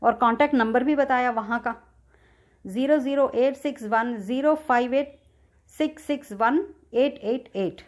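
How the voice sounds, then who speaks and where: A woman's voice: high voice, unhurried. A middle-aged woman with an Indian accent telling a personal experience casually and in detail, acting out bits of dialogue as she goes. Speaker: video creator sharing a personal experience. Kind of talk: casual first-person storytelling with re-enacted dialogue. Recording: dull, lo-fi sound.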